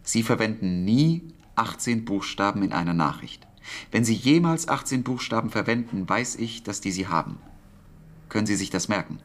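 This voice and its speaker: An actor in a German radio drama playing a wartime codemaster, awkward and exacting, with a hesitant, precise delivery and a wistful streak. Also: male voice, fairly low pitch, animated, moderate pace, natural voice. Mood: earnest, instructing.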